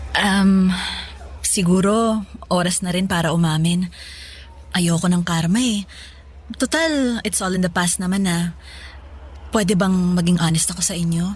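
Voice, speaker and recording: A woman's voice: lowish voice, takes it slowly, pauses often. An actress playing a chatty young Filipino office woman, breezy and coy, mixing English into her talk in a radio drama. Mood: awkward, coy. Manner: casual Taglish, breezy, coy.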